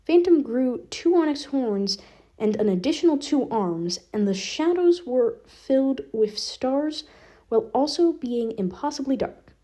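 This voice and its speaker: A woman's voice: high-pitched, animated, measured pace, dark tone. A woman reading fan fiction aloud as scripted narration. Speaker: podfic reader.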